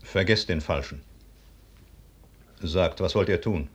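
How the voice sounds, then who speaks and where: An actor playing a grave officer bearing bad news in a classical German radio play, solemn and formal in delivery. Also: male voice, sits low, takes it slowly, a little hiss or hum.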